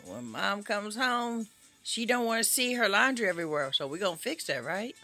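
Casting: American woman, middle-aged, bright animated voice, coaxing and scolding a little one in a casual home video. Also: medium pitch, normal speed, faint music behind. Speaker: caregiver filming a toddler at play. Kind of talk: casual home video chat with a small child. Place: cluttered carpeted living room.

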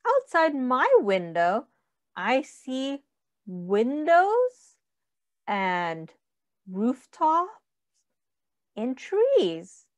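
Middle-aged lady doing storytime for little kids, really swoopy pitch, takes it super slow. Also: no room sound. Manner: simple, deliberate, child-directed phrasing. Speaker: early childhood teacher reading aloud. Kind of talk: children's picture book read-aloud with questions to young listeners.

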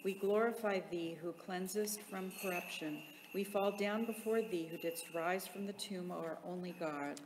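A woman reading solemn liturgical hymns aloud in a measured, formal, chant-like delivery. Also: fairly low pitch. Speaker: liturgical reader in church. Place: large reverberant interior. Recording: echoey reverberant hall, faint background noise.

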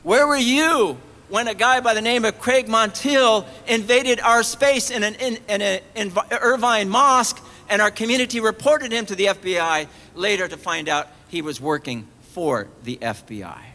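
A middle-aged man delivers an impassioned sermon at an outdoor rally from a podium mic. His voice sits high and sounds bright and forceful. He keeps building with pointed rhetorical questions. A long echo trails off the stone colonnade behind him. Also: moderate pace, hardly any pauses. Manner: emphatic, rising on repeated rhetorical questions. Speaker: preacher giving a rally sermon. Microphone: podium mic on a stand.

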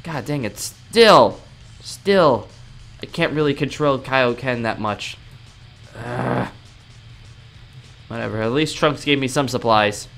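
A man's voice: medium pitch, slow, creaky, pauses often, a bit bright, faint music behind. A man playing a cocky, exasperated young anime fighter in a video game roleplay, grumbling to himself in slang. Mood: cocky, combative.

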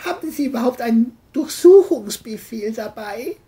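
A woman's voice: fairly high pitch, animated, normal speed, dark tone, pitched above the performer's own voice, lightly acted. A woman voicing a brazenly lying male killer in an audio crime story, with a mock-polite, evasive manner. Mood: smug, dismissive.

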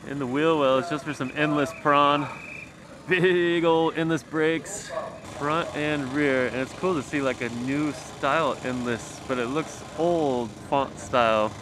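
A man's voice: fairly high pitch, steady tone, unhurried, crowd noise. A man giving casual, slangy and enthusiastic walk-around commentary as a car enthusiast, speaking outdoors. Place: outdoors in a circuit paddock. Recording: noisy outdoor car paddock ambience.

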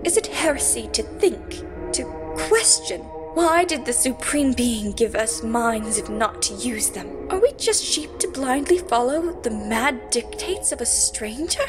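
An anxious, earnest young heroine acted by a woman pleads emphatically in a high voice. It is comic-book drama with music behind her. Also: animated, unhurried. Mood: impassioned. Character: earnest, anxious sister pleading with zealot brother. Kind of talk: motion comic dramatic acting.

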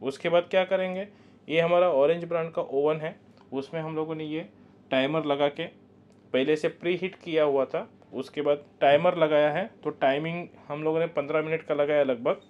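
A man's voice: on the high side, fairly even intonation, normal speed, muffled recording. Patient man teaching bakery steps. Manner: patient, instructive, repeats key warnings. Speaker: baker demonstrating recipe. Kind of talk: step-by-step cooking demonstration. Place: commercial bakery kitchen.

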